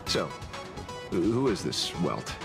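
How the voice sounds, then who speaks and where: A man's voice: sits low, big pitch swings, gravelly, exaggerated voice. A man playing a sly, easygoing, teasing rogue in a video game, with an amused, theatrical manner. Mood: sly, casual. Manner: slow, drawling, amused. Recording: game music behind.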